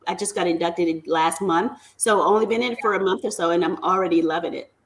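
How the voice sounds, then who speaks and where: A woman's voice: on the low side, quick pace, slight background noise. A woman guest on a casual talk show, chatting in a self-deprecating storytelling way. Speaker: guest business owner. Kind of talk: casual chat.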